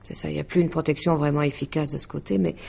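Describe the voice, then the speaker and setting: Courteous astrologer lady, old style radio play, lowish gravelly voice, unsure and measured. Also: little pitch movement, a little mellow, exaggerated voice. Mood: uneasy, hesitant. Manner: measured, hesitant, polite. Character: courteous, hesitant woman translator and amateur astrologer. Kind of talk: 1950s-style French radio drama acting.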